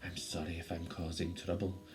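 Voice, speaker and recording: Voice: low voice, monotone, normal speed, soft background music, natural voice. A storyteller voicing a small female loch creature from Scotland for a children's story, nervous, sad and proudly indignant. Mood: apologetic, sad. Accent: Scottish, put on.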